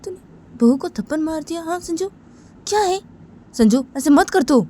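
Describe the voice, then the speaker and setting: A woman's voice: medium pitch, moderate pace, warm tone, lightly acted. A woman voicing an agitated older father who scolds his son and daughter-in-law with insistent, repeated pleas and questions. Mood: agitated scolding.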